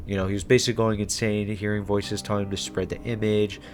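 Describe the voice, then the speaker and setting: Casting: male YouTube host, lower register, chatty and irreverent horror recaps over background music. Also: level tone, quick pace. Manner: informal, irreverent, conversational. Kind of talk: casual horror series explainer.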